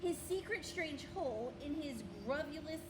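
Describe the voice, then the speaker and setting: A woman's voice: animated, normal speed. A woman reading a children's picture book aloud in a theatrical, emphatic storytelling manner, drawing out words. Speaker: guest reader, community leader. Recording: distant mic, noisy, low rumble.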